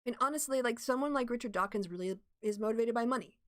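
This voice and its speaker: A woman's voice: fairly high pitch, rapid-fire, fairly bright tone, no room sound, clean studio sound. Woman thinking aloud on a livestream. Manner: thinks aloud with restarts, explanatory and opinionated. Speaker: livestream host. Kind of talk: casual livestream chat, reading viewer comments.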